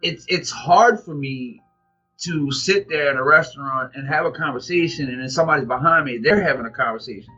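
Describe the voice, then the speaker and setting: American male vlog co-host, raspy forceful tone, loose colloquial delivery, acts out exchanges, music underneath. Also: mid-range voice, a little fast. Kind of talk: casual anecdotal chat. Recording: dull lo-fi audio, music underneath.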